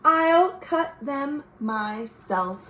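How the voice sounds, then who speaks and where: A woman's voice: sits high, animated, very slow, a bit bright, voice pushed up, full character voice. A woman voicing a determined little girl builder who speaks firmly and insists on doing the work herself. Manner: firm, self-reliant.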